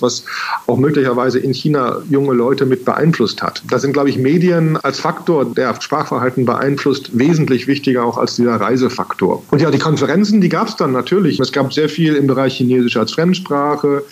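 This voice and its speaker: A man speaking as a linguist guest in an interview, answering in measured, explanatory sentences full of qualifications. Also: medium pitch, breathy edge.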